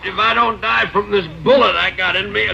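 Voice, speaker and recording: An American actor playing a laconic, good-humored country rancher with a creaky voice in an old radio drama. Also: male voice, high-pitched, fairly even intonation, moderate pace. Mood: wounded, amused. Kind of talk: old radio western-tinged drama acting. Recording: dull, noisy old radio recording.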